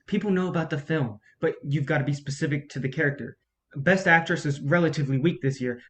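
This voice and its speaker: Guy acting a blunt defiant movie campaign guy, kinda high voice, stage play reading. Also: fast, fairly bright tone, a little hiss or hum, close to an everyday voice. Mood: brisk, practical. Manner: blunt, insistent. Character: frustrated male campaign colleague, blunt and defiant.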